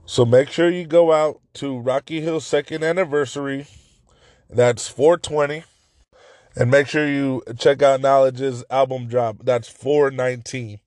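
A man hosting a casual podcast, his voice hoarse and raspy from yelling, talking excitedly and rambling with many restarts. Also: medium pitch, a little slow, no room sound, studio quality. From Central California. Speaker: podcast host. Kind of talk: casual solo podcast talk.

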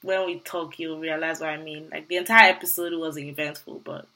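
A woman's voice: low-pitched, steady tone, brisk, bright, forceful tone. A woman co-hosting a podcast, talking casually in an informal chat about television. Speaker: podcast co-host. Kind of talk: casual chat recapping TV show.

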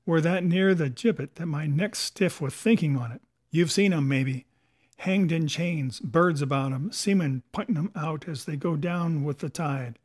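A man's voice: a little high, a little slow. A man voicing a cunning, defiant pirate captain in a storybook, with sarcastic questions and rustic sailor's talk. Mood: bitter, scornful. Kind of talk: dramatic audiobook character voice.

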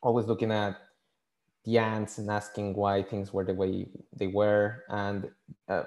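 A man from Mexico City, a native Spanish speaker with a Mexican accent, answering interview questions casually and haltingly, often repeating words. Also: on the low side, monotone, darkish tone. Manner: halting, repeats words, many "you know" fillers. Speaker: podcast guest, scientist and engineer.

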